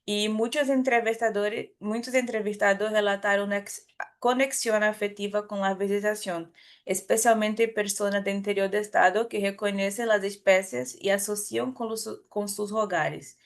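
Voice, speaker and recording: A woman presenting at an academic conference, her speech carrying a Brazilian Portuguese accent from her native tongue. She speaks carefully at a medium pitch, often stopping to restart or correct a word before moving on. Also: steady tone, moderate pace. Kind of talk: conference talk.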